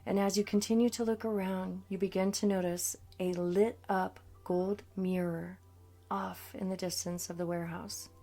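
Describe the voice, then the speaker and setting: A woman coach narrating a scripted guided meditation in a soothing, deliberate manner. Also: medium pitch, level tone, dark tone. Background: background music.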